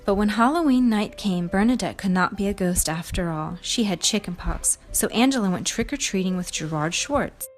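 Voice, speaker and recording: A woman's voice: medium pitch, animated, normal speed, few pauses, soft background music. A woman hosting a read-aloud, telling a storybook to young children with emphatic expression. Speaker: storybook reader and show host.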